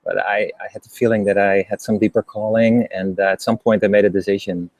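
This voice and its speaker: A middle-aged man with a Dutch accent, speaking in a low voice that barely moves in pitch. He talks in a casual, halting way, with frequent ums and restarts as he tells his story to an interviewer. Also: level tone, darkish tone, dry recording, low-quality recording. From Netherlands. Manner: hesitant, many ums and restarts. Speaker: guest, HeartMath trainer.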